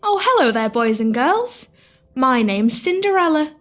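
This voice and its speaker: A woman's voice: high voice, big pitch swings, measured pace, a bit bright, faint music behind, full character voice. A woman playing a sweet, wistful, eager downtrodden young girl in an audio pantomime, earnest and wide-eyed. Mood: cheerful, wistful. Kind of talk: pantomime audio drama acting.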